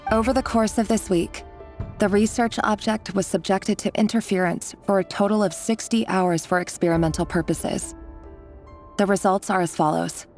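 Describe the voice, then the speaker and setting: An actress playing a detached researcher in a video game, delivering a report in a precise, formal way. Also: female voice, fairly low pitch, darkish tone, natural voice. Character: clinical, detached female researcher. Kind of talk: scripted game narration, reading a research report. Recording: game music faintly behind.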